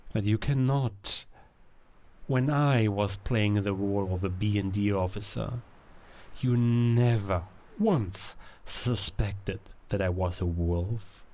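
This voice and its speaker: An actor playing a scheming werewolf king who coaxes and manipulates in a theatrical, insinuating way, with drawn-out words and hushed asides, in an audio drama. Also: on the low side, a little hiss or hum. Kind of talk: cinematic audio drama villain acting.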